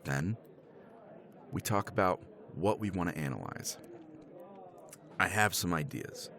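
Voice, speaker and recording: Low, slow-talking wry professor man. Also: animated, gravelly, sharp tone. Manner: wry, teasing, self-deprecating; swears casually, laughs often. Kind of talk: ASMR romance roleplay.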